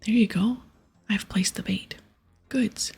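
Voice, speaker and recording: A woman whispers and softly murmurs her way through a game in ASMR style. Her voice is very husky and breathy, with a crackle of vocal fry. Music plays faintly behind her. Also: medium pitch, fairly even intonation, slow. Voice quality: breathy, hushed. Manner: mostly whispering, with stretches of soft speech. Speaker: ASMR gaming streamer.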